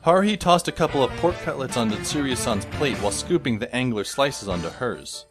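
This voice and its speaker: Guy narrating a story, dry sarcastic tone, lively delivery, music playing under. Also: mid-range voice, brisk. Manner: dry, sardonic. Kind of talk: first-person audio drama narration.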